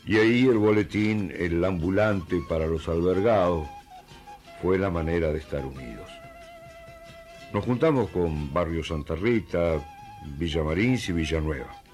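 Northeast guy telling his own story, really low voice, faint music. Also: measured pace, a little mellow. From Argentina. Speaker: neighborhood community member giving testimony. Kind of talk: first-person storytelling testimony.

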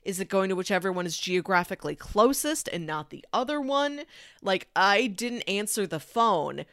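A woman's voice: mid-range voice, expressive, moderate pace, fairly bright tone, no echo, clean studio sound. A woman co-hosting a casual discussion about films, talking in a conversational, informal way. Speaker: film podcast co-host.

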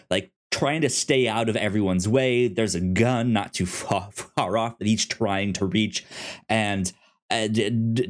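A podcast co-host of unclear sex chatting casually about films in a halting, repetitive way with many false starts, sometimes excitable. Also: mid-range voice. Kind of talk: casual film review chat.

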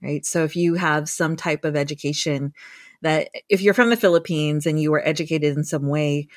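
A woman's voice: low-pitched, normal speed, no echo. Thoughtful woman researcher, close and dry. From United States. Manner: thoughtful, with frequent restarts and fillers. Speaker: guest researcher. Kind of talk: conversational interview.

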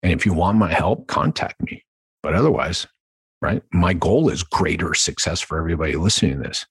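Deep, husky-voiced male podcast coach. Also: a little fast, no echo. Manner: emphatic, conversational, checks in with "right?". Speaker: podcast host and sales trainer. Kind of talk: instructional podcast monologue.

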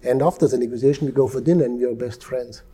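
Male interview guest from Europe, former negotiator; very dark, husky voice, calm and measured delivery. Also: medium pitch. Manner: calm, measured, with frequent restarts. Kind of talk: interview conversation. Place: Dubai.

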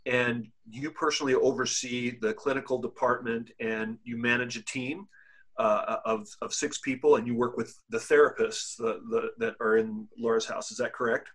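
A man hosting a casual podcast interview, with a slightly husky, breathy voice at an ordinary pitch. He talks haltingly and often restarts his sentences as he sets up his questions. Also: fairly even intonation, fairly bright tone. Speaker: podcast host.

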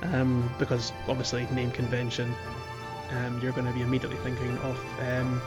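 A man co-hosting a casual video game podcast, recapping with animated, dramatic emphasis. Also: medium pitch, fairly quick. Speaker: podcast co-host. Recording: music playing under the voice. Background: background music.

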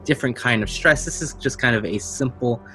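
A man's voice: medium pitch, fairly quick, sharp tone, poor audio. A man hosting and narrating a tabletop role-playing game as game master. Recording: music under the voice. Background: music.

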